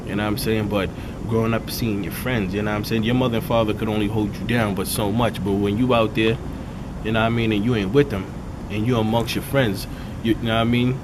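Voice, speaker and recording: A man with a raspy, dark voice, a rapper talking casually in an interview and peppering his talk with "you know what I'm saying". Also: fairly low pitch, fairly even intonation, quick pace, noisy background. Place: outdoors in a city neighborhood.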